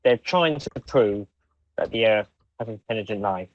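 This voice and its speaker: A man co-hosting a podcast in casual chat, speaking in halting pieces and repeating words, on a dull microphone. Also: on the low side, animated, no room sound. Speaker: podcast co-host. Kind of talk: casual chat reviewing audio drama.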